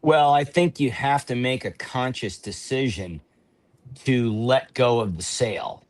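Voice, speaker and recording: A man on a panel explaining his view in a measured way, using vivid images. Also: mid-range voice. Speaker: panel guest. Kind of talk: casual panel chat.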